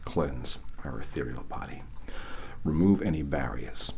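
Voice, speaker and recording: A man softly guiding an ASMR reiki session, half-whispering slowly and checking in with "okay?". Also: a bass voice, expressive, slight background noise. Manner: whispery, slow and soothing, frequent "okay?" check-ins. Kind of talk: soft-spoken ASMR guided session.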